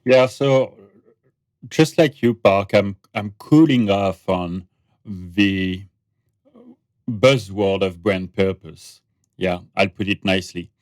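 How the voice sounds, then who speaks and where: Guest spot: French-accented man, low deep voice, reflective podcast guest. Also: slow pace, pauses often, no room sound. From France. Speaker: guest, brand researcher and author. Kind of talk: podcast interview conversation.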